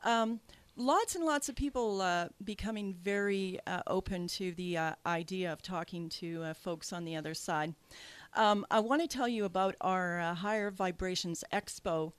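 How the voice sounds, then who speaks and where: A woman with a Canadian accent hosts a radio talk show, speaking in a loose, chatty way full of ums and restarts. Her pitch sits in a middle range, and the studio sound around her is dry and close. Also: moderate pace, smooth, no room sound. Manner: chatty, many fillers and restarts. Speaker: radio show host, psychic medium.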